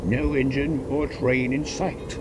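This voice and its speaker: A man's voice: little pitch movement, slow pace. A man reading fan fiction aloud as dramatic, suspenseful story narration. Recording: loud ambient rumble behind voice. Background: loud ambient noise.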